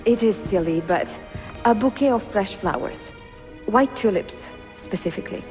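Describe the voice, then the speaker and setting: An actress playing a cultured, gracious and gently playful Italian woman in a video game, with an Italian accent. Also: medium pitch, animated, normal speed, lightly acted. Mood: gracious, conversational. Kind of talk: cinematic video game acting. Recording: music behind the dialogue.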